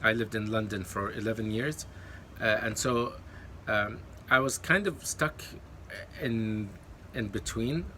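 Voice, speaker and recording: Bright-voiced man, full of fillers. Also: lowish voice, measured pace, a little hiss or hum. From Kuwait. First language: Arabic. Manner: hesitant, many fillers and self-corrections; encouraging. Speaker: YouTube creator. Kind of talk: casual advice to camera.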